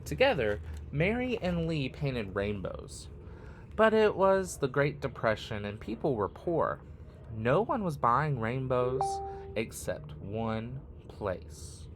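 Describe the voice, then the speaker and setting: A man reading a picture book aloud expressively, in short phrases with deliberate, dramatic pauses. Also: medium pitch, very animated, background noise. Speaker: read-aloud storyteller.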